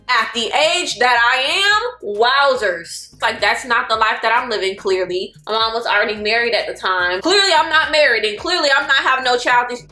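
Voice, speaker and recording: A woman's voice: fairly high pitch, pushed and bright. A woman in her twenties from Florida, chatting casually and playfully to her viewers while getting ready, now and then slipping into song. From Florida, United States. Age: 20s. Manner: chatty, playful, drawls slang and sings lines. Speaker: vlogger. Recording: echoey room with faint music.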